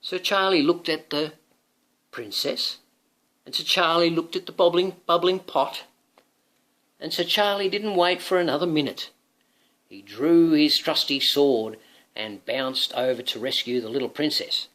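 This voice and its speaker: A man reading a picture book to his young son, expressive, with coaxing asides to the child. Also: on the high side, unhurried. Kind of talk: animated storybook read-aloud.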